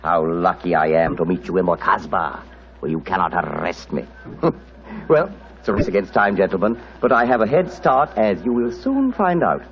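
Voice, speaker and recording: Casting: mocking would-be heir and schemer, lowish voice with theatrical swoops, old-time radio. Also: male voice, big pitch swings, moderate pace, low-quality recording. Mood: mocking, menacing. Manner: sardonic, taunting, theatrical. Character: suave, mocking male villain, scheming heir.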